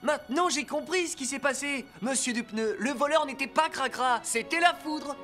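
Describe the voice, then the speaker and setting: A woman voicing a calm, responsible rescue car leader in a dubbed children's cartoon, explaining things reassuringly. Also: high-pitched, normal speed. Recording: music underneath.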